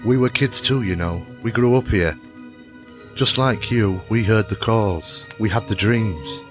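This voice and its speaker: Low-voiced gentle father, played by a man. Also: measured pace, music in the background, close to an everyday voice. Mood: tender, earnest. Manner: tender, earnest, reassuring. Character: gentle, worried father soothing his young son. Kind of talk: audio drama acting.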